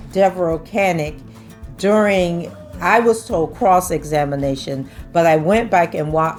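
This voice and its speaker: Hoarse-voiced woman explaining court procedure. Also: fairly low pitch, darkish tone. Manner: explains step by step, many "and so" links. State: losing her voice. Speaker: commentator reading court transcripts. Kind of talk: casual commentary, reading aloud.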